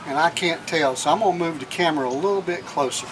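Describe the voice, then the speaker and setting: Man, slightly high voice, casual demonstration, folksy and repetitive, noisy vehicle recording. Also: fast. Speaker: vlog host. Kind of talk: casual how-to demonstration, talking while working hands-on. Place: in and around a minivan.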